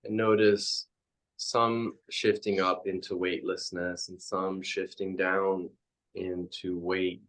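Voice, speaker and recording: A man leading a guided meditation as a teacher, speaking in halting, reflective phrases with frequent pauses. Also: low voice, level tone, fairly bright tone.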